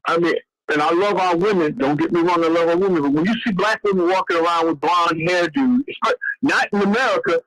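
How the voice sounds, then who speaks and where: A man's voice: sits high, fast talker, fairly bright tone, poor audio. Rambling, profane man in his sixties. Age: 60s. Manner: rambling, profane, stumbles over names. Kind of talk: casual chat.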